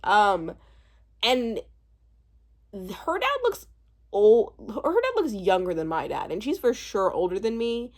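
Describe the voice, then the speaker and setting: A woman in her twenties giving a casual, chatty recap commentary, animated and rambling, often breaking off mid-thought. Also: medium pitch, takes it slowly, long pauses. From born in Uganda, spent part of childhood there. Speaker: YouTube host reviewing a reality show.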